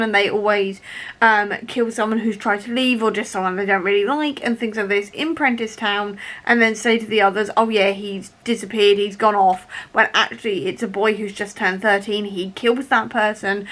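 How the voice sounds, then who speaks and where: A woman talking casually as a book vlogger, in an animated, emphatic way, repeating key phrases for stress. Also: medium pitch. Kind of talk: casual reading vlog chat.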